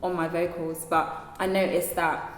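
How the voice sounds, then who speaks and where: A singer being interviewed, a woman with a deep speaking voice who talks thoughtfully with many fillers and restarts. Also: level tone, unhurried, roomy sound, long reverb tail. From London. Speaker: singer, guest. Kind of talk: casual interview talk.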